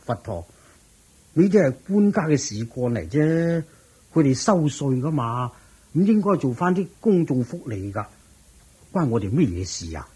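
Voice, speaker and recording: An actor playing a thrifty, earnest, somewhat naive rich merchant in a radio drama, questioning and hesitant, with a Hong Kong Cantonese accent. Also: male voice, medium pitch, sing-song, normal speed, warm tone, husky. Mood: doubtful, puzzled.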